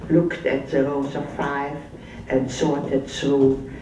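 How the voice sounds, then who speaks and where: An older woman giving recorded testimony as a survivor, speaking slowly and haltingly with many pauses and fillers. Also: rumbling low, flat delivery, roomy sound, distant mic, noisy background. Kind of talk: recorded first-person testimony.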